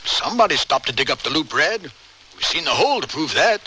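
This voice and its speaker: A man's voice: on the high side, very animated, brisk, sharp tone. A man playing a confident, folksy, wry marshal in an old-time radio western. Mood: assured, wry. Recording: slightly noisy radio drama recording.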